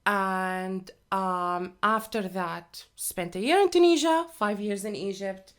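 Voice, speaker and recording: A woman's voice: mid-range voice, slow pace. A young adult woman who grew up in Saudi Arabia, talking openly and casually as a podcast guest, with frequent fillers and laughs, recorded on a studio microphone. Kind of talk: casual interview chat.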